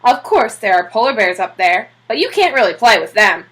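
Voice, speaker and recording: Hearty bearded admiral played by a woman reader, storybook style: emphatic, animated, forceful. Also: mid-range voice, brisk. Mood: hearty, confident. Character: Admiral Drake, great bearded hearty explorer man.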